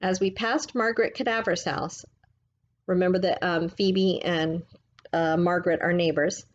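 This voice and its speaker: A woman reading a book aloud like a teacher, in a gentle, measured, explanatory way. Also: on the low side, fairly even intonation, muffled recording.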